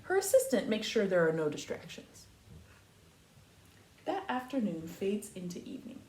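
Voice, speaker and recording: A woman reading a picture book aloud to young children like a teacher, with expressive, emphatic phrasing and explanatory asides. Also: mid-range voice, normal speed. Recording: echoey room, faint background noise.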